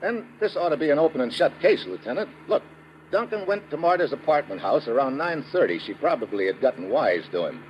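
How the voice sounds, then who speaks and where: An American man acting as a tough, brisk government agent questioning people in a 1950s radio detective drama. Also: a little high, animated, lightly acted. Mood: eager, puzzled. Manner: brisk, hard-boiled, questioning. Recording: muffled, noisy old radio recording.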